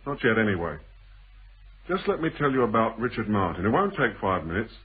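Husky, needling British male stranger. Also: fairly low pitch, sing-song, full character voice. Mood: coolly needling. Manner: teasing, probing, deliberate. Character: shrewd, insinuating male stranger, needling storyteller in a thriller. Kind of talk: British radio drama acting. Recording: muffled band-limited old radio broadcast.

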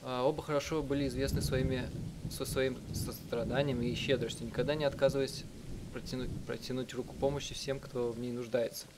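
A man reading out a live translation of a visual novel in a halting, self-correcting way. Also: medium pitch, flat delivery, background noise. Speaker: let's player reading out translations. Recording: music behind the voice. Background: game music.

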